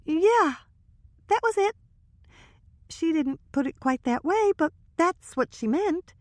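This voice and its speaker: A woman doing a high, nervous gossiping girl. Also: expressive, takes it slowly, no room sound, exaggerated voice. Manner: breathless, hesitant gossip. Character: young woman, nervous, gossipy and easily flustered.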